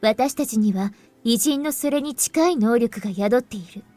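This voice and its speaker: A woman voicing a composed, serious young heroine in a visual novel, speaking in a measured, explanatory way. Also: on the high side, warm tone, natural voice. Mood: serious, explaining. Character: composed young woman revealing grave secrets, anime game heroine. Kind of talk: Japanese visual novel voice acting. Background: game music.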